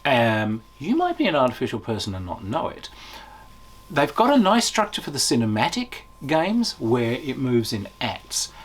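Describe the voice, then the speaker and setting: Husky man giving a casual game review. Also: medium pitch. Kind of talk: casual unscripted review to camera.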